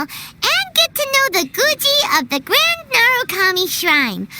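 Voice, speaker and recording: A woman voicing a small, curious fairy-like girl companion in a game dub, talking excitably. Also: very high voice, very noisy, full character voice.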